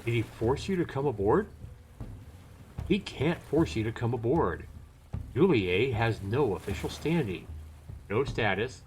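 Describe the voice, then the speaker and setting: A man's voice: medium pitch, animated, a little slow, pauses often, a little mellow, slight background noise, close to an everyday voice. A man voicing a courteous elf who is indignant and sympathetic, reading visual novel game dialogue. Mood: concerned.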